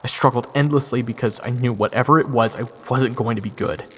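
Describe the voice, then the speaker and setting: A man's voice: mid-range voice, fairly quick, fairly bright tone, breathy edge. A man giving first-person dramatic scripted narration as the hero of a fantasy audio roleplay. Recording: long reverb, music under the voice.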